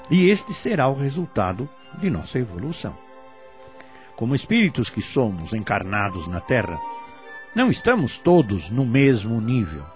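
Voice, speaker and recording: A Brazilian man giving scripted, didactic radio commentary in a formal, deliberate, solemn manner. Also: medium pitch. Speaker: radio commentator on spiritist teaching. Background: faint music.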